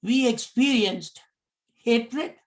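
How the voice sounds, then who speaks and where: A middle-aged man from Sri Lanka with a Sri Lankan accent, giving a prepared public talk in slow, deliberate phrases. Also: extremely high pitch. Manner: slow, deliberate, measured phrasing in short pieces. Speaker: commentator.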